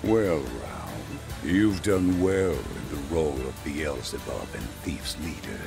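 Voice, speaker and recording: An actor playing a towering, stern demon king in a video game, deep and gravelly, slow and commanding. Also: expressive, exaggerated voice. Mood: imperious approval. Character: towering demon king, stern, imperious, grudgingly fair father. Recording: music behind the voice.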